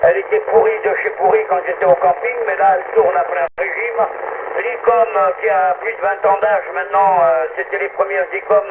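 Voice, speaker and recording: A man's voice: high-pitched, animated, fairly quick, vocal fry. A man chatting in a familiar, colloquial way as an amateur radio operator over a radio link. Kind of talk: casual radio contact chat. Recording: dull, noisy amateur radio transmission.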